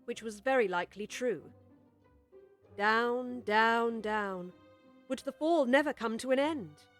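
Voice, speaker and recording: Female audiobook narrator, fairly high voice, expressive storybook delivery, music underneath. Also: slow pace.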